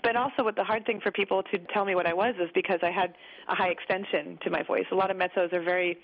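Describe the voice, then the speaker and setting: A woman guest on a radio interview, rattling off stories at a brisk clip. Her manner is chatty and animated, and she laughs easily. The broadcast sound is a little dull and muffled. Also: fairly low pitch, gravelly. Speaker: guest opera singer. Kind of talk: radio interview, casual storytelling.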